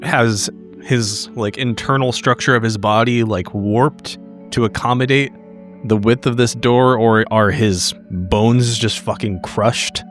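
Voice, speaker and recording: A man playing a tabletop game, casually describing what his character does in an informal, halting way. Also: medium pitch, steady tone, faint music behind.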